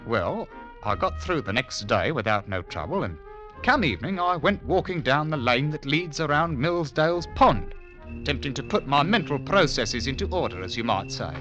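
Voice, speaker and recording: An actor playing a pompous, excitable working-class Englishman with a Cockney accent, telling his story in old-time radio comedy style, blustering in bad grammar and grand words. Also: mid-range voice, close to an everyday voice, poor audio. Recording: vintage 1940s-50s radio recording.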